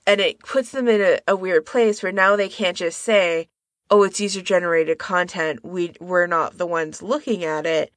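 A woman's voice: lowish voice, steady tone, moderate pace, dry recording, clean studio sound. A woman co-hosting a podcast, explaining things in an informal, conversational way. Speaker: podcast co-host. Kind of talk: casual explanatory podcast chat.

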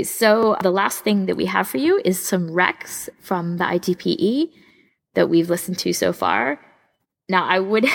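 A woman's voice: fairly low pitch, gravelly. A woman co-hosting a casual podcast, chatting enthusiastically like a keen fan. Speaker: podcast co-host.

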